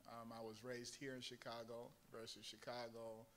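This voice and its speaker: A man from Ghana asking a panel a question from the audience, hesitant and polite, with many pauses and restarts. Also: mid-range voice, flat delivery, a bit bright, slight background noise. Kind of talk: unscripted question from the floor.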